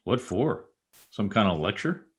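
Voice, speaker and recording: Actor as wry newspaperman for old-time radio comedy: lowish voice, lively swings, easygoing. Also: unhurried, slightly rough, heavily acted. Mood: wry, doubtful. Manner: wry, joking, hesitant asides. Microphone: video call.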